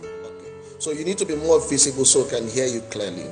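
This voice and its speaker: A Nigerian man hosting an interview show, speaking in a measured way and repeating and rephrasing his words. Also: mid-range voice, lively intonation, music in the background. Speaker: host.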